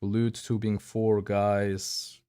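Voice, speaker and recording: Low-voiced man rambling through commentary. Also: flat delivery, mellow, smooth. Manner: halting, rambling, many restarts and fillers. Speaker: streamer. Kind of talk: casual commentary.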